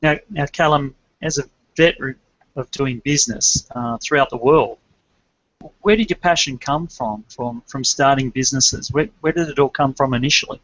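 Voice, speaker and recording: A man's voice: mid-range voice, moderate pace, fairly bright tone. A man from Australia hosting a business podcast, giving upbeat, rehearsed introductions and questions. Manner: upbeat, scripted-sounding introductions. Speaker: podcast host and business coach. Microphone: remote call, dull lo-fi and dry. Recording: dull dry online call audio.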